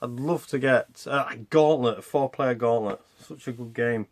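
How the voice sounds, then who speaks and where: A man's voice: mid-range voice, normal speed. A man hosting a game review with casual, rambling, self-deprecating commentary as he plays. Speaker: video game reviewer and channel host.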